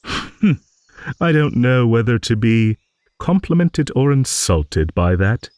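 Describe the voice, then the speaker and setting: A man playing an old dragon, wistful, slightly vain, rambling, very lively intonation, close dry sound, fantasy monologue. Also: medium pitch, slow, dry recording. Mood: amused musing. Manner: rambling, musing, with hums and soft chuckles. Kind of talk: improvised fantasy audio monologue.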